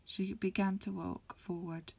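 A woman reading a storybook aloud as ASMR in a breathy, mostly whispered voice, a little hesitant, with small stumbles. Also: mid-range voice, level tone, measured pace, darkish tone. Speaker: amateur reader. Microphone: close recording, lo-fi home setup.

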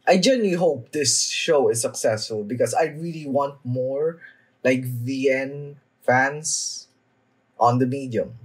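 A young man giving casual, off-the-cuff reaction commentary in a halting way, trailing off mid-thought. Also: mid-range voice, husky. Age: young adult. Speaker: anime reaction vlogger. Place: small home room, indoors.

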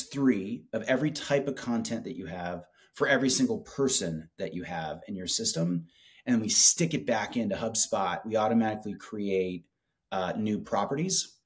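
A man in his forties making a casual, enthusiastic product pitch in conversation, speaking colloquially on a video call. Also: darkish tone. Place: small office with whiteboard, indoors.

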